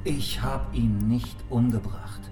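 An actor playing a cheeky, controlled private detective being questioned in an audio drama. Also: male voice, medium pitch, steady tone, slow, lightly acted. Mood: controlled, defensive. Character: defiant, wisecracking male private detective under interrogation. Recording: echoey room, faint music. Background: faint music.

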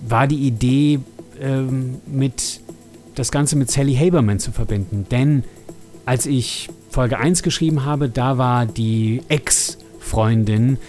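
A man's voice: mid-range voice, takes it slowly, darkish tone. Male podcast host and writer, informal behind-the-scenes chat, English loanwords, music underneath. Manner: informal, sprinkles English words into his talk. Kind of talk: casual behind-the-scenes talk to listeners.

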